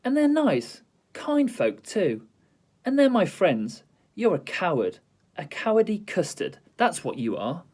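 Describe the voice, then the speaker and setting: A man reading aloud and voicing a protective young girl who scolds loudly, in a storybook reading. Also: very animated, measured pace. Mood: scolding. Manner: scolding, high and loud.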